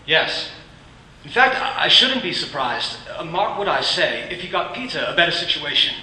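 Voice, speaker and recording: Grieving father in a radio play, bright tone, halting and soft, played by a man, heard from across an echoey room. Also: on the high side, sing-song, a little hiss or hum, heavily acted. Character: grieving gentle clerk and father. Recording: roomy, distant from the mic.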